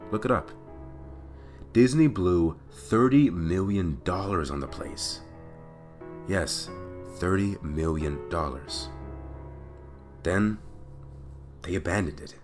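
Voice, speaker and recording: A man hosting a podcast and narrating scary stories in a theatrical, dramatic way, stretching phrases for emphasis. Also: low voice. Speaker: podcast host and story narrator. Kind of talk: dramatic reading of creepypasta stories.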